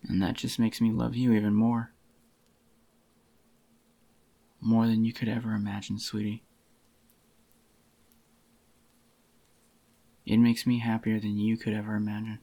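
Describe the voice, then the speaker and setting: A man playing a doting, possessive yandere boyfriend in a soft-spoken ASMR roleplay, with a tender, intimate murmur and a husky, slightly groggy voice. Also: lowish voice, monotone, fast.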